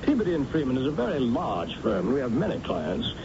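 A man playing a polite, appraising lawyer in an old radio drama, speaking in a formal, measured, courteous way. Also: wildly swooping pitch. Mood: polite, reassuring. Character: polite, appraising male lawyer at a large firm. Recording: noisy muffled old radio recording, rumble.

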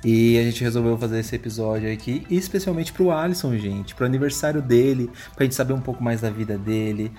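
A man's voice: medium pitch, brisk, hardly any pauses, dark tone, faint music behind. A man with a Brazilian accent co-hosting a podcast, chatty and steering the conversation. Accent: Brazilian Portuguese. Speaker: podcast co-host. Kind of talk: casual podcast chat.

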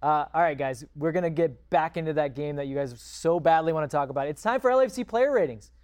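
A woman's voice: deep voice, animated, fast, a little mellow, no room sound, studio quality. A woman hosting a casual sports panel chat in a playful, teasing manner. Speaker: sports show host.

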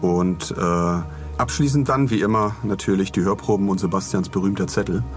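A man speaks in a low voice as a podcast co-host. He chats casually and jokes along as he goes. Light music plays behind him. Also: moderate pace. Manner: joking, bantering.

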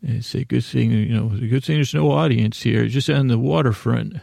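An American man with a gravelly, creaky voice hosting a bedtime podcast, rambling in a halting, meandering way with drawn-out pauses. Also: mid-range voice, dark tone, no echo, clean studio sound. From United States. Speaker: podcast host.

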